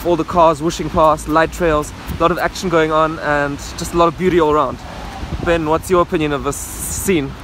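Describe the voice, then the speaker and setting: A man in his thirties filming himself at arm's length outdoors in the rain, talking upbeat and quick about his photo setup. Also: fairly high pitch, steady tone. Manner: upbeat, quick, talks to camera while filming. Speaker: photography vlogger. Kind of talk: casual outdoor vlog talk. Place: rainy city rooftop and street at night, outdoors. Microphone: camera held at arm's length. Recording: loud wind and traffic noise outdoors. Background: loud wind, rain and traffic.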